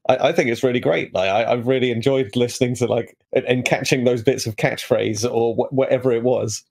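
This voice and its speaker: Podcast co-host: man, mid-pitched voice with plenty of fry, casual conversational delivery. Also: steady tone, fairly quick, darkish tone. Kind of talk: casual chat.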